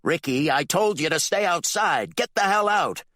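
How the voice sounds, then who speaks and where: A cruel preacher father who sneers and threatens, his voice pitched higher and swinging wildly between contempt and barking orders, as a male narrator puts him on. The delivery is lively and dry, read close for an audiobook. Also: measured pace, no echo. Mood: menacing. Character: cruel scheming father, a reverend.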